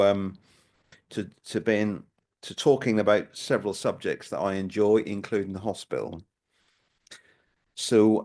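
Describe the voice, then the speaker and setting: Older man with a Norwich accent. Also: fairly low pitch. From Norwich, England. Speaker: local history speaker. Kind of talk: prepared public history talk.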